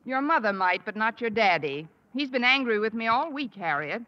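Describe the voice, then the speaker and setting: A wisecracking sardonic teacher. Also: female voice, a little high, sing-song, normal speed. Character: wisecracking high school English teacher. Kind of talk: 1950s radio sitcom acting. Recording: old radio broadcast, dull and noisy.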